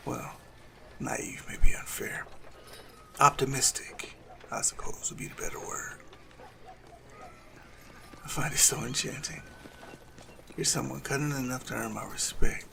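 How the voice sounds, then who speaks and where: A man playing a suave, cunning assassin in love, speaking softly and formally in a romantic audio roleplay with a gravelly creak. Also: mid-range voice. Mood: enchanted, earnest. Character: lovestruck male assassin, suave, cunning, tenderly courting a noble. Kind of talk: romantic audio roleplay acting addressed to the listener.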